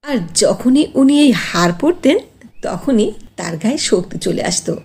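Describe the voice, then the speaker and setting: A woman narrating a scripted cartoon fairy tale in an animated, expressive way. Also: on the high side, measured pace, husky.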